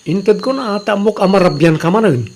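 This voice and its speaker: A man's voice: high-pitched, moderate pace, a little mellow, a little hiss or hum. Male actor for kindly, generous man, Ilocano Filipino accent, radio comedy drama. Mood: calm, defensive. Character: kind, generous older-brother figure, a man.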